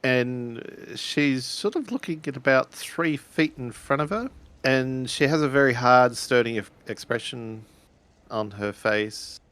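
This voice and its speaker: A man playing a tabletop roleplaying game, describing his character's actions in a hesitant, deliberate way. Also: medium pitch, a bit bright.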